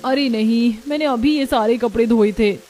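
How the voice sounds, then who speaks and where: A woman's voice: on the high side, normal speed, warm tone, natural voice. A woman playing a put-upon young daughter-in-law in a cartoon story, complaining in an earnest, fretful way. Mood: worried.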